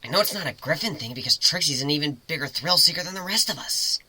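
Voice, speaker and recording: A woman's voice: deep voice, animated, fast, bright, forceful tone, breathy edge, heavily acted. A woman voicing a tough, casual, blunt but kindly female griffin pirate in a fan fiction reading. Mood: breezy, coaxing.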